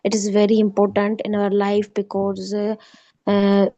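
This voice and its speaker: Flat-toned Sindhi-speaking woman student. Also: mid-range voice, moderate pace, no echo, dull audio. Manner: polite, often says "ma'am", fillers and restarts. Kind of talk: online class speaking practice.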